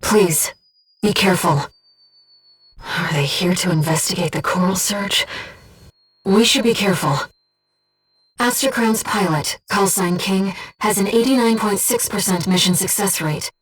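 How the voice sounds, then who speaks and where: A woman voicing an earnest, gentle guide who speaks inside a pilot's head in a mecha video game, in measured scripted delivery. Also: medium pitch, husky, far from the mic, rough recording. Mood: tender. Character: soft-spoken, earnest female voice in a pilot's head, loyal guide. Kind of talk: scripted video game voice acting, tactical callouts.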